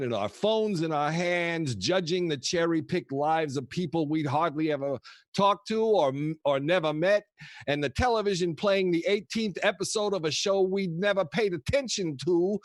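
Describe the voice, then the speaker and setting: An actor playing a kooky old grandfather who tells rambling, theatrical, halting stories to his grandchildren in a comedic audio play. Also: a little high, no room sound, studio quality, close to an everyday voice. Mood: nostalgic. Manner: theatrical, wistful, halting storyteller.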